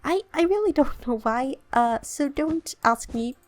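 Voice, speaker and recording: A woman's voice: high voice, animated, a little slow, faint music behind. A young woman from southern Sweden with a southern Swedish accent, chatting playfully through her game with a slightly sore throat and frequent little giggles. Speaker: gaming channel host. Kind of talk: casual let's-play commentary.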